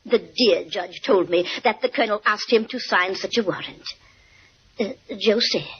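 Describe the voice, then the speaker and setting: An actress playing a catty, sweetly gloating society woman with a husky, breathy voice, in 1950s radio drama style. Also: mid-range voice, moderate pace, a little hiss or hum, close to an everyday voice. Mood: sweetly malicious. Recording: muffled old radio broadcast.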